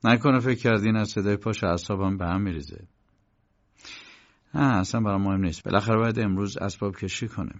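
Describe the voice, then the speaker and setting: A man's voice: fairly low pitch, pitched below the performer's own voice, lightly acted. A man voicing a reserved young male clerk who answers in a curt, restrained way. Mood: guarded. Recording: dull lo-fi recording.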